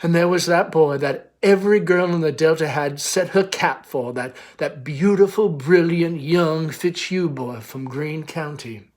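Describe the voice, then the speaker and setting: A man's voice: on the high side, a little slow, a little mellow, lightly acted. A man reading the part of a chatty, nostalgic Southern mother who talks in a theatrical, gushing way in a stage play. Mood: elegiac reminiscing. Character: chatty, airy, faded Southern belle mother, nostalgic and girlish.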